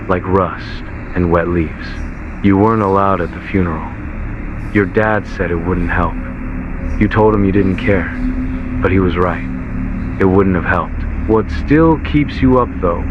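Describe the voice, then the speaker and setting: Male horror narrator, low-pitched and ominous, on dull lo-fi tape-style audio with clear background noise. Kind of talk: scripted horror story narration in second person. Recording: lo-fi muffled VHS-style audio, heavy noise.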